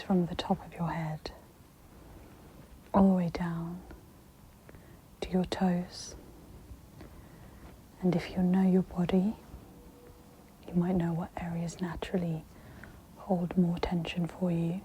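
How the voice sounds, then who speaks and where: A woman whispers softly and breathily as she gently guides an ASMR meditation in a soothing, instructive manner. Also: on the low side, slight background noise, poor audio.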